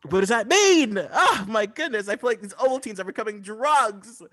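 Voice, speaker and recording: Man playing a high-voiced, bewildered ad parent. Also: lively intonation, normal speed, sharp tone. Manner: rambling, repetitive, hesitant. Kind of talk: improv comedy sketch.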